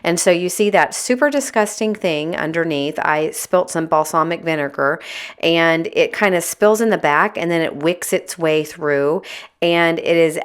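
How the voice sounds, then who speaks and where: A woman with a raspy, hoarse voice, chatting candidly as a homemaking vlogger. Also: sits low, moderate pace. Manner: chatty, candid, a little exasperated. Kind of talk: casual vlog chat.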